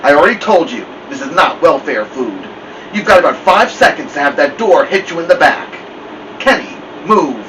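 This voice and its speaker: A man's voice: fairly high pitch, sing-song, moderate pace. A man voicing a stern, fed-up mother scolding her teenage son in a storybook read-aloud, firm, clipped and emphatic. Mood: angry, scolding. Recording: muffled lo-fi, distant mic, loud hiss.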